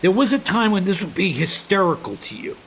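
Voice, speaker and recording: An actor playing a stubborn, wounded, middle-aged joking dad in a naturalistic comedic radio play, plaintive and insistent. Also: male voice, high-pitched, animated, brisk, fairly bright tone, slight background noise.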